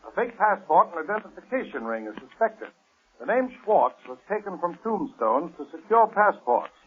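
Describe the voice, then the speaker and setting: An actor playing an urgent radio newscaster who declaims a dramatic bulletin in punchy 1930s style. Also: male voice, high voice, sing-song, moderate pace. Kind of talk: 1930s radio news reading. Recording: tinny old radio recording, faint music.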